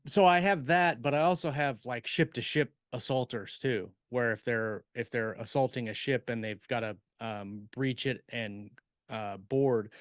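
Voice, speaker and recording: A man co-hosting a podcast as a writer, chatting casually in a halting, rambling way and explaining things by example. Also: mid-range voice, dry recording, studio quality. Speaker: podcast co-host and science fiction writer. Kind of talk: casual chat about writing.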